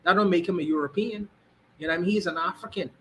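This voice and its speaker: A man's voice: high-pitched, fast, bright, forceful tone, a little hiss or hum. A man from the Bahamas, giving his view in casual talk and often restarting his sentences. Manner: many restarts and repeated "you know what I mean". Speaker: guest.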